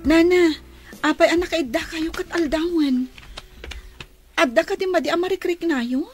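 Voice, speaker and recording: A woman's voice: extremely high pitch, moderate pace, faint music behind. A woman playing a caring, anxious young woman in a radio drama, speaking with an Ilocano accent. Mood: worried. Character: caring, anxious young woman looking after elderly mother-figure.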